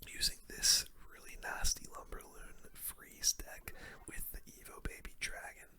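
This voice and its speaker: A video game streamer giving casual ASMR gameplay commentary in a soft, breathy whisper, with relaxed gamer slang. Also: unhurried. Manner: casual gamer slang, murmured reactions to play.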